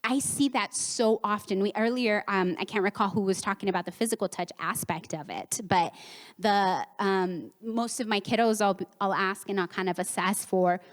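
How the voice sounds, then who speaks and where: A woman's voice: mid-range voice, normal speed. A woman in her thirties, a therapist whose first language is Spanish, giving a conversational conference talk with acted-out quotes. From United States, Mexican home. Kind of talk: conference presentation. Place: conference hall. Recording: reverberant conference hall.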